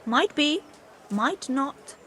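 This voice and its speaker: Game role: a weary medieval beggar, plaintive and high-pitched, faint noise behind. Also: female voice, animated, extremely slow, fairly bright tone, full character voice. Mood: plaintive, evasive. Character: weary, evasive beggar woman, pleading and humble. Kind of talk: video game voice acting.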